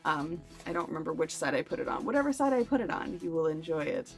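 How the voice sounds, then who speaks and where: A woman's voice: low voice, sing-song, fast talker. Chatty woman reviewing books over music. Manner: chatty, self-deprecating, playful asides. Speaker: book review vlogger. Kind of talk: casual book chat to camera.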